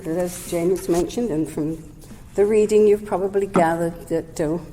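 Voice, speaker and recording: A woman gives a measured, gentle Sunday talk in a warm, mellow voice. Her words trail into the long reverb of a hall around her. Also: fairly low pitch. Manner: measured and gentle, with occasional self-corrections. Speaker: speaker at a Sunday service. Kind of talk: prepared talk read aloud. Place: service hall.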